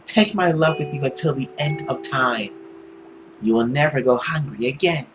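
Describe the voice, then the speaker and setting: A man's voice: mid-range voice, moderate pace, music in the background, lightly acted. A man voicing a doomed, tender woman from a visual novel, speaking to a former lover in an earnest, sorrowful, dramatic way. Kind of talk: visual novel character reading.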